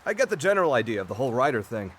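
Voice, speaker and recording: A young man playing an eager, friendly adventurer in a game cutscene, with a casual, excitable way of talking. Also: mid-range voice, rapid-fire, slight background noise. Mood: animated.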